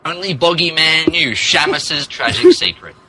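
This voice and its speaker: A man's voice: a little high, animated, moderate pace, pushed and bright. A man narrating a fan fiction story as a mock-dramatic podcast reading, amused, theatrical and emphatic.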